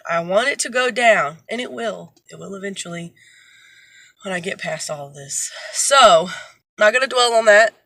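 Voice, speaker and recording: A woman chatting casually to her viewers from her car, congested with allergies and sounding deeper than usual, rambling with fillers. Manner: rambling, loose, with many fillers. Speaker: vlogger. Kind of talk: casual vlog chat. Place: parked or driving car, morning. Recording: steady car noise behind.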